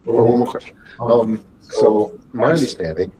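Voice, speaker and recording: A man in the audience, a real estate agent, asking a question in a halting way and repeating words. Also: medium pitch, crowd noise. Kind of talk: hesitant spoken question. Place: indoor training session room. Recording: clearly noisy room with clicks.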